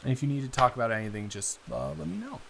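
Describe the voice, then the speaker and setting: An awkward, earnest young man, played by a male voice actor in an intimate audio roleplay. His voice sits at a middle pitch. He stammers and rambles, hesitant and smitten, forever ready to apologize. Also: big pitch swings, rapid-fire, creaky, fairly bright tone, slight background noise. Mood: excited, earnest.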